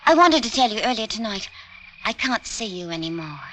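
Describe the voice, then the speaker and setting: A noisy vintage radio recording of a young English working girl. Her voice is high and very lively in melody, offhand and breezy, kind but firm. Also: a little fast. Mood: apologetic, firm. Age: young adult. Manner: colloquial, offhand. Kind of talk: old-time radio drama dialogue.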